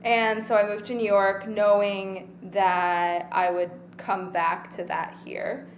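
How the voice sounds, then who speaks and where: A person in their twenties from Taiwan, chatting casually as an interview guest, relaxed and rambling with lots of fillers. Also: female voice, mid-range voice, slow, a little hiss or hum. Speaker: guest actor.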